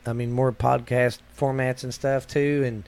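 A man's voice: mid-range voice, little pitch movement, a little slow, creaky, a little mellow. A man with a Texan accent co-hosting a podcast, chatting casually in a rambling, folksy way and repeating himself. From Texas. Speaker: podcast co-host. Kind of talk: casual chat.

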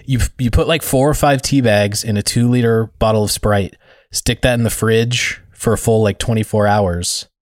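Low-pitched man, podcast co-host, dry joking manner, close dry recording. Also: normal speed, no room sound. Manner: dry joking, loose and rambling. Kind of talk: casual roundtable chat.

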